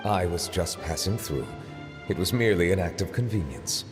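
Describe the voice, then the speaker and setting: A stately male judge from a video game, voiced by a man, speaking in a low, composed voice. He chooses every word with formal precision and measured calm. His humor is dry. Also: music in the background, lightly acted.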